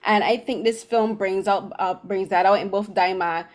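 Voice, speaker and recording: A woman's voice: mid-range voice, fairly even intonation, moderate pace. A middle-aged woman hosting a film interview in a conversational style over a video call. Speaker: interview host. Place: small room at home. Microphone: computer mic on a video call.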